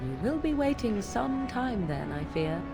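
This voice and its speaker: A woman's voice: fairly high pitch, very animated, a little slow, gravelly, over music, heavily acted. An actress playing a haughty, mocking swamp witch in a video game, with archaic, teasing phrasing. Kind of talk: video game character acting.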